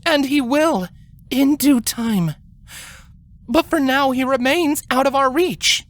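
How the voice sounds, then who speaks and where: A regal elder alicorn queen, acted out by a woman narrator. Her voice is high and commanding, with a grim, authoritative big-sister sternness. It suits a dramatic fantasy storybook. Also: slow. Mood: firm, cautioning. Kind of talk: dramatic fan fiction reading.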